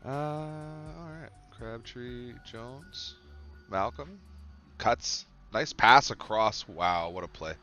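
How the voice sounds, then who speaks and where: A man with a stuffy, cold-clogged voice, casually commenting as he plays a football management game, musing and then breaking into sudden excited reactions. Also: mid-range voice, slow, faint music behind. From Canada.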